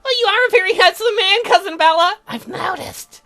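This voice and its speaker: A man's voice: very high-pitched, brisk, sharp tone, faint music behind, pitched above the performer's own voice, exaggerated voice. A man voicing the gleeful, ghoulish, man-eating female cousins of a count in a horror game, with theatrical eagerness. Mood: prim, helpful.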